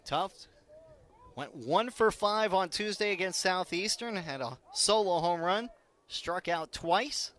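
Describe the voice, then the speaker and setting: A high-voiced American man delivers live radio baseball commentary. He narrates the game in a measured, detailed way and lifts readily into excitement when the action builds. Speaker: baseball play-by-play announcer.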